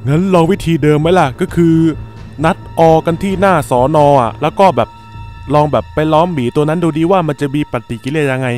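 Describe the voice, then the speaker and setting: A calm police sergeant from a game cartoon played by a man. He is cautious and reassuring, talking in a measured way over music. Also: fairly high pitch, close to an everyday voice.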